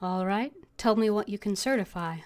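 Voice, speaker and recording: A woman's voice: mid-range voice, moderate pace, a little mellow. A woman game streamer voicing her own character's lines in a fantasy role-playing game.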